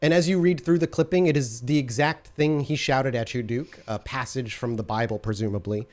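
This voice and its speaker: A man's voice: medium pitch, fairly quick. A man running a tabletop role-playing game as storyteller, narrating scenes and answering players, often restarting his sentences. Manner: descriptive, restarts mid-sentence.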